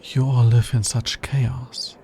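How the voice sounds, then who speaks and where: An anxious, logical alien scientist, acted by a man in an intimate ASMR roleplay. His voice is dark and creaky, and he talks very slowly, in careful, formal phrases that often halt. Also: medium pitch, flat delivery, a little hiss or hum. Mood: calmer, fond. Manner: hesitant, formal, halting.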